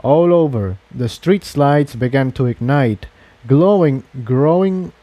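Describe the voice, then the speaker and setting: Mellow, animated male storyteller. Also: mid-range voice, slow, no room sound. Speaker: fan fiction narrator. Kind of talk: dramatic scripted audiobook narration.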